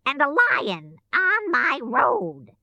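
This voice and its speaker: A woman voicing a wicked, greedy storybook witch with a drawn-out, commanding and menacing delivery. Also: high voice, wildly swooping pitch, gravelly, pushed and bright, dry recording, muffled recording, voice pushed up, full character voice.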